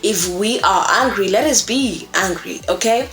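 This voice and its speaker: A woman's voice: mid-range voice, a little slow, a bit bright. Earnest Kenyan woman teaching, tagging "okay?". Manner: earnest, coaxing, often tags "okay?". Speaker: voice acting teacher. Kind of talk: instructional talk to camera.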